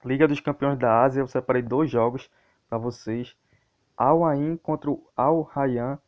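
A Brazilian man speaks in a mid-range voice with very little melody, keeping an even line as he goes. He sounds like an informal online host giving sports tips, addressing his viewers directly and casually. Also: medium pitch, unhurried, dull audio. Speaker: host of a football betting tips channel. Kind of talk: casual sports tips commentary over a screen recording.

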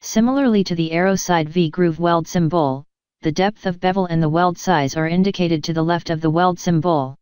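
Clear, low-pitched woman teaching steadily. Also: no room sound, highs cut off. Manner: measured, even explanatory delivery. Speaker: instructor. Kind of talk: scripted technical narration.

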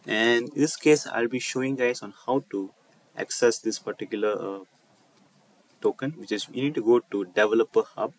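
A man presenting an unscripted coding walkthrough over a screen recording, speaking haltingly and often repeating and rephrasing his phrases. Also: mid-range voice. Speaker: tutorial presenter.

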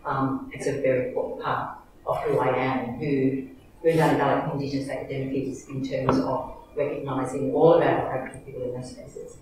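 A woman's voice: extremely low pitch, level tone, a little fast, recorded from a distance, noisy background. Australian woman hosting in an echoey hall. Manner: chatty, many fillers and self-corrections. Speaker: event host introducing a speaker. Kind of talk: university lecture introduction. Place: large university lecture hall. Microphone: lectern microphone, recorded from far back.

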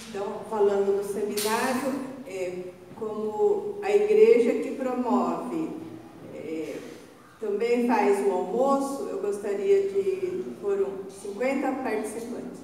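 Slow older woman in an echoey hall. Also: medium pitch, warm tone, recorded from a distance, slight background noise. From Brazil. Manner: slow, halting, with many fillers and restarts. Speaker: church leader giving announcements. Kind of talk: unscripted church announcements. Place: large church hall indoors, altar behind. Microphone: stand mic a little off. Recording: echoey hall recorded from afar.

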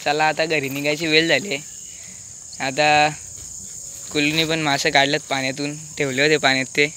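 A man with a very bright, forceful voice, pitched a little high, chats casually. He is recorded outdoors on a phone with faint insect noise behind him. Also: level tone, normal speed. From India. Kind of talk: casual chat. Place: outdoors on a riverbank.

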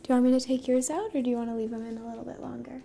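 A woman in her twenties doing a soft-spoken ASMR roleplay to the listener, gentle and hushed, drifting into whispers. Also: a little high, fairly even intonation, rapid-fire, vocal fry, a little hiss or hum. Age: 20s. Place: dim indoor room at a table.

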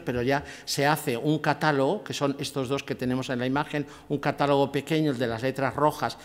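Older man lecturing in a reverberant hall. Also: medium pitch. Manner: measured, with frequent restarts and fillers. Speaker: conference speaker. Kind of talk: academic lecture. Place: lecture hall, seated at a table with a laptop.

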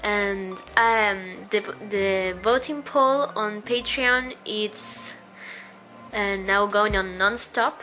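A woman's voice: medium pitch, drawn-out words. A young woman from Uruguay with a Spanish accent, a native Spanish speaker, chatting hesitantly over a speedpaint video with many ums and restarts. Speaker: artist answering viewer questions. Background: soft background music.

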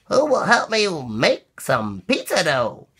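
A man voicing a fussy, industrious female hen from a storybook, in an exaggerated singsong with drawn-out calls. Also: sits high, huge pitch swings, creaky, fairly bright tone, breathy edge, pitched above the performer's own voice, exaggerated voice. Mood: cheery calling out.

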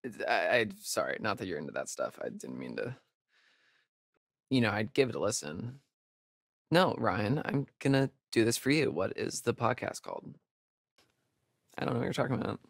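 A man's voice: fairly low pitch, big pitch swings, creaky, no echo, heavily acted. Wisecracking young American guy, dry recording. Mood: awkward. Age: young adult. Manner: casual, sarcastic, halting asides. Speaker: video game voice actor. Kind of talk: naturalistic video game dialogue.

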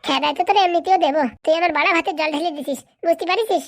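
A woman's voice: very high-pitched, racing. A woman voicing a hot-headed, abusive young village man in a comic cartoon, scolding belligerently. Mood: furious.

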